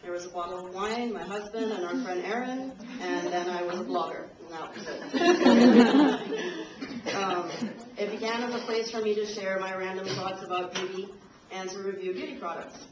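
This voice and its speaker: A woman's voice: medium pitch, moderate pace. Nervous woman giving a speech. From Trinidad and Tobago. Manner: a little nervous, laughs between lines. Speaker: invited speaker. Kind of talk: reading a prepared speech. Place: university lecture room. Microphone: camcorder from the audience. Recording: roomy, distant, dull camcorder audio with noise.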